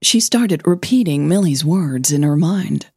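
A woman reading scripted fiction as the narrator of an audio drama, in a measured, gentle manner. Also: low voice, animated, husky, dry recording, studio quality.

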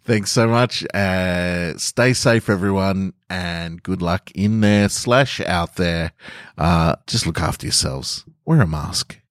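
A man's voice: low-pitched, slow. A man with an Australian accent hosting a podcast, talking informally and chattily in a self-effacing way. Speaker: podcast host and writer. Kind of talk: casual podcast announcement.

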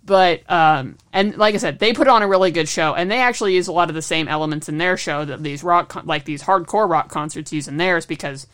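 A woman's voice: fairly low pitch, a little fast, few pauses, fairly bright tone. A woman co-hosting a casual podcast chat, telling stories and acting out what people said. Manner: chatty storyteller who acts out quoted remarks. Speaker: podcast co-host.

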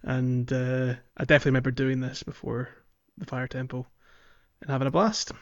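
A man in relaxed co-host chat, speaking at a medium pitch with agreeable, halting phrasing and a ready giggle. The sound is very dry and a little muffled, with a lo-fi edge. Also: no echo. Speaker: podcast co-host. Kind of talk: casual chat about a video game.

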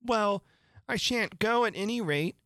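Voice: mid-range voice, a little slow, fairly bright tone, dry recording, studio quality, voice pushed up. A performer voicing a bold, argumentative young girl in a storybook, with an indignant, plain-spoken manner. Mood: defiant.

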